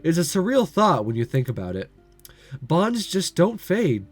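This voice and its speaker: A man's voice: a little high, wildly swooping pitch, measured pace, faint music behind, full character voice. A man reading as an easygoing young guy.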